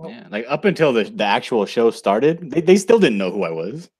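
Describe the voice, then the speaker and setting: A man's voice: mid-range voice, sing-song, normal speed, a little mellow, husky. A man chatting as a guest on an online show, easygoing and chuckling as he talks. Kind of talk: casual online chat.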